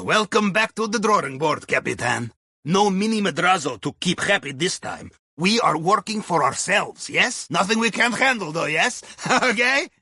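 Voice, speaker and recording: An actor playing a jovial, crafty Russian submarine captain in a video game, planning heists in a wry, conspiratorial way with a raspy voice and clipped non-native phrasing. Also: male voice, high-pitched, pushed and bright, no echo, exaggerated voice. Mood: wry, genial. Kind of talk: video game mission dialogue.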